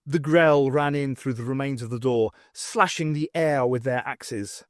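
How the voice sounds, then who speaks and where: A man narrating scripted fiction for an audiobook in an expressive, theatrical storytelling manner. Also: medium pitch, a little slow, no echo, studio quality.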